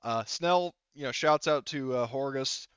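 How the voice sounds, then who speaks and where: A man's voice: mid-range voice, unhurried, a bit bright, clear, resonant tone, no echo. Male game master, casual roleplay narration, meandering delivery, muffled lo-fi sound.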